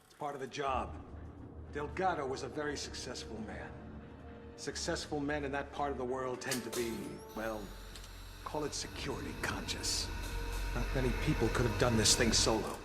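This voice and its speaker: An actor playing a shrewd, grave American intelligence chief who speaks in a measured, ominous, deliberate way in a game cutscene. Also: male voice, mid-range voice, natural voice. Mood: measured, intrigued. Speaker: intelligence official character in a video game cutscene. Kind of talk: dramatic game cutscene dialogue. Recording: dramatic music behind the voice.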